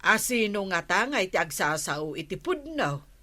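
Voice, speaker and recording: A woman's voice: medium pitch, sing-song, normal speed, bright, forceful tone. A woman playing a questioning village woman who mediates neighbours' quarrels, with an Ilocano accent, in a radio comedy-drama.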